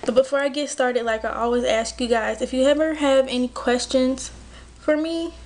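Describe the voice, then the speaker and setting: A woman's voice: sits high, steady tone, normal speed, a little mellow. A woman in her twenties chatting casually to her viewers while she does her makeup, full of "like" and "you know". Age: 20s. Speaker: beauty vlogger. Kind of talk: casual chit-chat while doing makeup. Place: bedroom at home. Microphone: built-in camera mic at close range.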